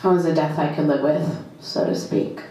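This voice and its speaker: A woman performing a first-person dramatic monologue at a live reading, in short, deliberate, halting phrases with emphatic repetition. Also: low-pitched, steady tone, warm tone, a little hiss or hum. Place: indoor live performance in a club. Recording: very echoey live venue.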